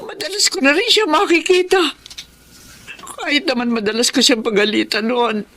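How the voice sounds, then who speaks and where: A woman's voice: high-pitched, fairly even intonation, normal speed, a bit bright, a little hiss or hum. An actress playing an older, long-suffering Filipino mother who is stern but loving, emotional and plain-spoken. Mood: wistful, relieved. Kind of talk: Filipino drama acting.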